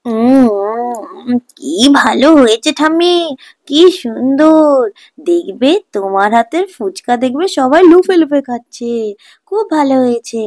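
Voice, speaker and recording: A woman's voice: fairly high pitch, animated, a little slow, a little mellow. A woman voicing an affectionate, eager young village grandson in a cartoon folk tale, chatty and coaxing. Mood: excited. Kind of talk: animated children's folk tale acting.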